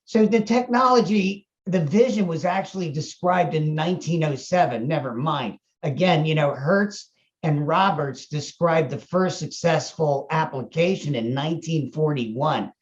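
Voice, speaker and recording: A man's voice: fairly high pitch, lo-fi sound. An older American man giving conversational interview answers in a folksy, wry, colloquial way. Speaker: chief medical officer interviewed about his company.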